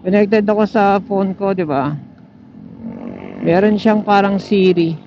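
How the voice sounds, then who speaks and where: A man's voice: high-pitched, slow pace. A Filipino man giving casual, chatty riding commentary, thinking aloud as he goes. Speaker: motorcycle vlogger. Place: outdoors on a motorcycle in traffic. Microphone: helmet intercom microphone. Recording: muffled helmet intercom mic with vehicle noise. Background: faint vehicle and road noise.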